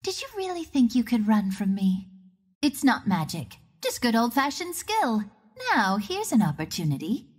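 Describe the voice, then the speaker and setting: A woman's voice: on the high side, sing-song, moderate pace, husky. A woman voicing a cocky, playful young archer of the wind in a video game, with a confident, teasing manner. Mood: taunting. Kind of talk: video game character voice lines.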